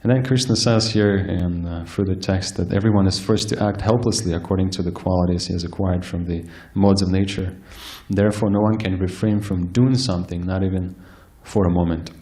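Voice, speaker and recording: A man giving a scripture lecture, soft-spoken and hesitant, with frequent fillers and restarts. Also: deep voice, fairly even intonation, fairly quick, hardly any pauses. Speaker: speaker giving a scripture class. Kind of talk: sermon-style lecture. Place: temple hall with live audience.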